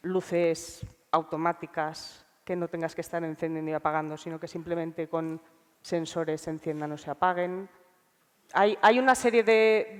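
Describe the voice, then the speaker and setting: Female panelist, Spain accent. Mid-pitched, smooth though congested with a cold, hesitant, in a reverberant hall. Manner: hesitant, thinking aloud. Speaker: research scientist, panelist. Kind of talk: informal, unscripted panel remarks.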